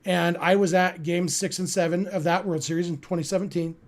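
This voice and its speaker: Sports podcast host: American man, notably high-pitched voice, earnest, reflective, conversational live delivery. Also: steady tone, a little fast. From California, United States. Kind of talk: casual live sports talk, answering listener chat.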